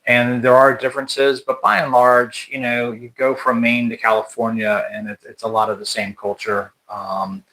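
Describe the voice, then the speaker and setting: A man's voice: medium pitch, flat delivery, normal speed, hardly any pauses, sharp tone, poor audio. An American man hosting a casual livestream chat, talking in a rambling way with many restarts and fillers. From United States. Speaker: channel host. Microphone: livestream mic.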